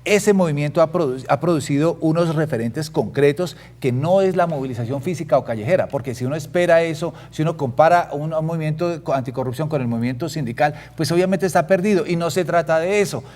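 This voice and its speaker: Fast, insistent man debating. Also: fairly high pitch, darkish tone. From Colombia. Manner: argumentative, insistent, repeats phrases. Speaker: guest, director of an anti-corruption network. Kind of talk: heated panel debate.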